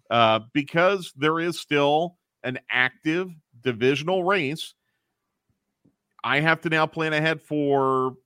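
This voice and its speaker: A man's voice: on the high side, pushed and bright, no room sound, studio quality. A man who runs a radio station's programming, talking casually on air and thinking aloud with restarts.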